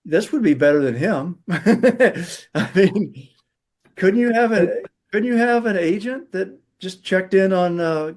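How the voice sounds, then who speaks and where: A man's voice: high-pitched, animated, slow pace, a little mellow. A man chatting casually as a participant in an online group call.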